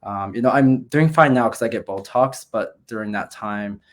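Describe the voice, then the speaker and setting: A man's voice: on the low side, moderate pace. A man in his thirties, a guest in a casual video-call interview, talking in a friendly, rambling way with many uhs. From Canada. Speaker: guest, nurse and business owner.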